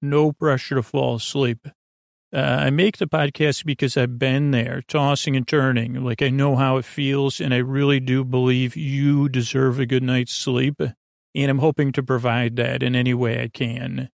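A man hosting a sleep podcast in a meandering, halting solo monologue, often trailing off mid-thought, with a gravelly, creaky voice. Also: medium pitch, little pitch movement, dry recording, studio quality. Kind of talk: rambling, digressive solo monologue.

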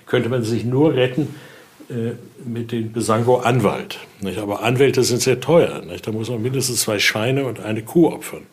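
A man's voice: mid-range voice, normal speed. An older man explaining collection items conversationally as a researcher, in German with non-native grammar slips and frequent questioning tags at sentence ends.

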